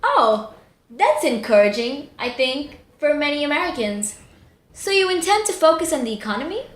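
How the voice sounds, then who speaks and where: Lady doing a perky news interviewer in a comedy audio play, high voice bouncing all over, echoey room. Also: very animated, normal speed. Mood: bright, admiring. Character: female TV news panelist, perky, earnest or starstruck. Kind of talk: comedic audio drama, mock news broadcast. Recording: roomy echo, faint background noise.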